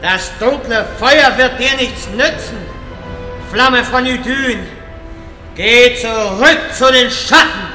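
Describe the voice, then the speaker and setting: High-pitched imperious wizard, slow solemn declaiming, cavernous echo, fantasy radio play. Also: male voice, sharp tone, faint music behind, exaggerated voice. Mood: defiant, commanding. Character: imperious male wizard defying a dragon, pompous. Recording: echoey cavernous reverb.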